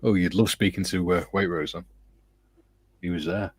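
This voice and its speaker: Husky low-voiced man hosting a chat. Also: steady tone, moderate pace, dry recording. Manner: jokey, teasing, reads comments aloud. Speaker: stream host reading viewer chat. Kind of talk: casual chat.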